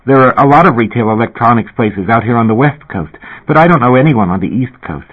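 A man reading an audiobook and voicing a shy, breathless young woman singer who talks in a rushing, excited way. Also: mid-range voice, natural voice. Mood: eager, breathless. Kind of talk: audiobook character voice. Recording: tinny phone-like audio.

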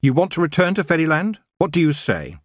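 A man's voice: mid-range voice, very animated, normal speed, no echo, studio quality. Man voicing a stern, commanding young witch. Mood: stern challenge. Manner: firm, scolding. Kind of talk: audiobook character voice.

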